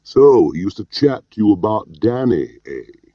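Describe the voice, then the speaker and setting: A man's voice: on the low side, very animated, unhurried, no room sound, dull audio, voice pushed up. A man voicing a growling, pompous intelligence chief with a pert Scottish brogue, in an audiobook. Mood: probing.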